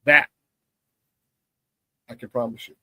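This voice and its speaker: A hoarse, raspy man hosting a morning sports talk show, riffing playfully in a casual, hype-man style. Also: slow pace, sharp tone, no room sound, studio quality. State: hoarse from a cold.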